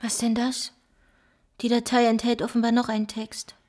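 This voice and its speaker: Actress doing sober sceptical woman investigator in a radio play, precise, measured. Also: on the high side, completely flat delivery, a little mellow, natural voice. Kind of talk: radio play dialogue and document reading.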